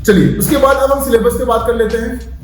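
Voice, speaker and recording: A man's voice: very high voice, fairly even intonation, fairly quick. Guy teaching exam prep step by step, really echoey and far from the mic, keeps checking you follow. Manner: methodical, often checks with tag words like "right" and "okay". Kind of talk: explanatory lesson. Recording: very echoey room, distant mic, music behind.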